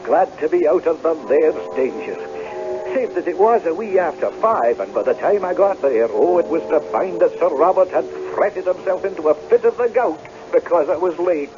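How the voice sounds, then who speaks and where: A man's voice: fairly high pitch, animated, normal speed, music in the background, lightly acted. An actor in a radio drama playing a hard-drinking, nervous Scottish tenant farmer who wheedles and stammers as he tells his tale. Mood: wry storytelling. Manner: stammering and blustering, folksy. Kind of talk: radio drama acting and narration. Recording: muffled, noisy old radio broadcast.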